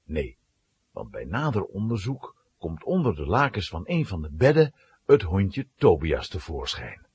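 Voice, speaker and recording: A man's voice: deep voice, huge pitch swings, measured pace, a little mellow, slightly rough. A man narrating a children's audio drama as a dramatic storyteller.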